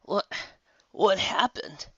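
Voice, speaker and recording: A man voicing a weary, exasperated young female unicorn pony with a halting, dramatic delivery. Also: no echo, muffled recording, lightly acted. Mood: dazed, weary.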